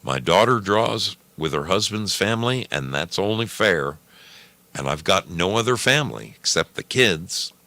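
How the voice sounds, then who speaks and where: A man's voice: low voice, lively intonation, moderate pace, sharp tone, dry recording, pitched above the performer's own voice, heavily acted. A man voicing a plain-spoken village husband and father, stern and resigned, with a curt, firm manner.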